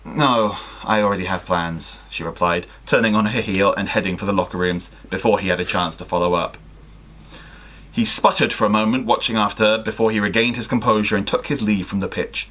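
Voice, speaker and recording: A young man chatting casually and reading stories aloud in an animated, jokey way. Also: fairly low pitch, a little fast. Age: young adult. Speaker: co-host of a fan fiction video. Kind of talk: casual chat and story read-aloud. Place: small indoor room at home.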